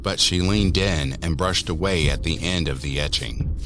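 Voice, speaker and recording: A man narrating a scripted horror story in a deliberate, measured manner. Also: sits low, background noise.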